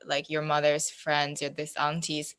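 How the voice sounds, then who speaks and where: A woman's voice: low-pitched, monotone, a little slow, a bit bright, clear, resonant tone, no room sound. A woman speaking casually as a guest on a podcast. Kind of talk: casual chat.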